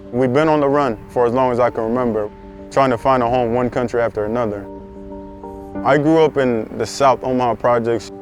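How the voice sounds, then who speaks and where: A young man from South Sudan, founder of a youth basketball program, speaking earnestly in a documentary interview and repeating words for emphasis. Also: mid-range voice.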